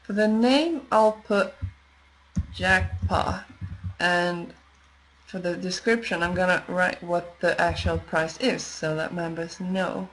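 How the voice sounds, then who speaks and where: Slow-paced woman giving a software tutorial. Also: lowish voice, steady tone, slight background noise, poor audio. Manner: measured, explanatory, pausing as she works. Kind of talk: unscripted step-by-step screen tutorial narration.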